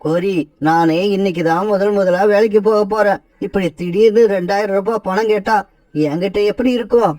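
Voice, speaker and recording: A woman's voice: mid-range voice, fairly even intonation, fairly quick, a little mellow, no echo, recorded from a distance, close to an everyday voice. A woman voicing a grown male bird, a weary, henpecked husband in a cartoon, with a pleading, defensive manner.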